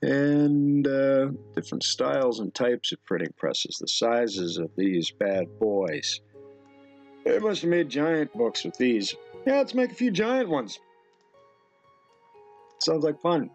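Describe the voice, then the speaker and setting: A middle-aged man giving rambling off-the-cuff commentary in a halting, musing way, as if talking to himself at his computer. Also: medium pitch, sing-song, faint music behind. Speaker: video host. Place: indoor room at a computer.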